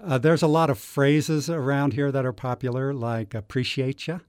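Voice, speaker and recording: Man, radio guest, clear smooth voice, dry sound, hesitant, many ums. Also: medium pitch, steady tone, normal speed, a little mellow, no echo. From Utah. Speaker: newspaper editor, guest. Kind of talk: radio interview.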